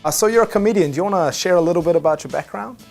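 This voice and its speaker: A man's voice: high-pitched, very fast, vocal fry, darkish tone, soft background music. A man hosting an interview, asking questions in a hesitant way that often starts with uh. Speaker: show host, interviewer.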